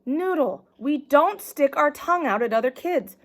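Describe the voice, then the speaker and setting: A woman's voice: high-pitched, lively intonation, fairly bright tone, voice pushed up. A woman as a patient, weary teacher. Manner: slow, drawn-out reprimands. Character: patient, exasperated schoolteacher woman.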